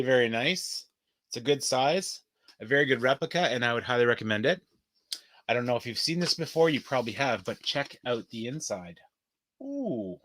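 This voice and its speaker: A man's voice: mid-range voice, fairly bright tone, no room sound. Chatty man in a dry room. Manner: chatty and upbeat, playful asides. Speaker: vlog host showing his collectibles. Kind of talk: casual show-and-tell chat to camera.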